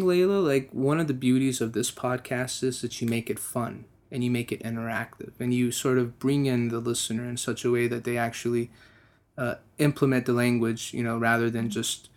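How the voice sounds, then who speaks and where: A man's voice: medium pitch, steady tone, moderate pace, darkish tone. Thoughtful young man telling a story. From United States. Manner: thoughtful, with frequent restarts and fillers. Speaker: guest musician. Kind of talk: casual interview.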